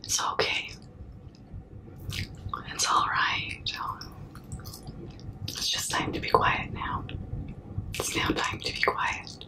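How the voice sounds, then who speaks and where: A young woman doing a comforting ASMR roleplay in a breathy, unvoiced whisper, hushing and soothing up close to the mic. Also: far from the mic, rough recording. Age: young adult. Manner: slow, hushing, soothing, repetitive. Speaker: ASMR performer. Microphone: close mic, very near the camera.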